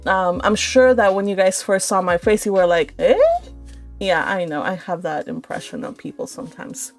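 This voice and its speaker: A woman hosting a casual livestream, chatting in an animated, teasing way. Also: on the low side, moderate pace. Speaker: host of a live read-along stream. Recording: music behind the voice. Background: music.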